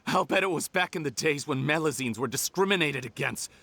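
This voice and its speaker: A man's voice: fairly high pitch, lively intonation, a little fast, bright, forceful tone, heavily acted. An actor playing a bitter, defensive young male criminal researcher in a video game, dramatic and emotional. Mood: bitter, defiant. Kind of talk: English video game voice acting.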